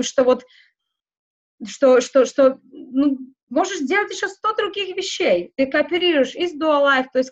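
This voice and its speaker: A woman whose first language is not Russian, speaking haltingly in a casual interview, with many fillers and restarts. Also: high-pitched, highs cut off. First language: not Russian; schooled outside Russian. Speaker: interview guest.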